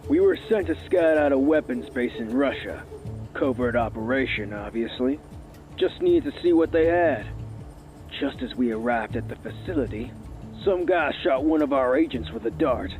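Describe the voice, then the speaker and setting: A man playing a matter-of-fact agent giving measured testimony through a playback filter in an anime parody audio drama. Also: medium pitch, lively intonation, darkish tone, faint music behind. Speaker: voice actor. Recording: thin phone-like filtered playback.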